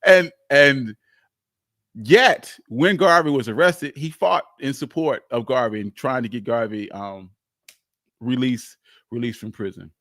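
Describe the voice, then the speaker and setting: A man hosting a casual, unscripted live history stream, speaking candidly in a halting, rambling way. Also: mid-range voice, fairly bright tone, no room sound, studio quality. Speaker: livestream host, history storyteller.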